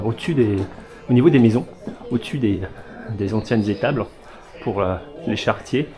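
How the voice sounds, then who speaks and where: A man from France narrating a casual travel vlog, chatty and enthusiastic, with frequent fillers. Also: mid-range voice. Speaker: travel vlogger. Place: outdoors on a motorcycle trip through villages. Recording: background music.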